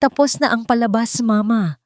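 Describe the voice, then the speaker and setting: A woman's voice: a little high, normal speed, a little mellow, dry recording, studio quality, voice pushed up, natural voice. A woman voicing a betrayed, furious father who confronts his mother-in-law in a cold, menacing, accusing way. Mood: cold command.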